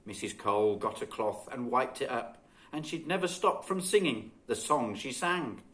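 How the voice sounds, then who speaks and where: A man reading a children's story expressively and dramatically, with deliberate phrasing. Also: mid-range voice, expressive, sharp tone. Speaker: guest storybook reader.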